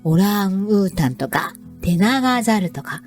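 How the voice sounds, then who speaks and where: A woman's voice: medium pitch, animated, fast talker, faint music behind. A woman hosting a radio program in a polite, presenterly manner, introducing it as navigator. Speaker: program navigator and environmental education instructor. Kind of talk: scripted radio show introduction.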